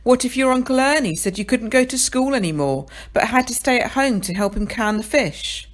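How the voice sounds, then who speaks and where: A woman's voice: fairly high pitch, lively intonation, normal speed. A woman reading a children's story aloud, dramatic and addressing the listener directly as narrator. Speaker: story reader.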